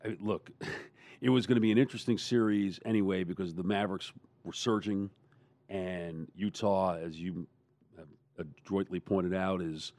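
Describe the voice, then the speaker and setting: A man in a casual sports roundtable, talking as a panelist in a halting, rambling way. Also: low-pitched, fairly even intonation, a little mellow, no room sound.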